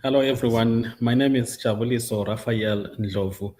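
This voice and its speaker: A man's voice: mid-range voice, steady tone, moderate pace, darkish tone, slight background noise. A man from Zimbabwe, a guest introducing himself briefly and plainly on a live stream. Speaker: guest business partner.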